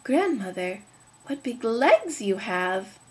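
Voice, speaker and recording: A woman voicing a trusting, innocent little girl with a high, slow, earnest voice in a told fairy tale. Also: big pitch swings. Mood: wondering.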